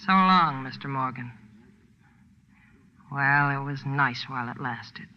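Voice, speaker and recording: A woman's voice: a bass voice, slow pace. An actress playing a cool, wry young woman hiding hurt in a 1940s radio drama, understated and laconic. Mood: cool, wistful. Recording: dull 1940s radio recording.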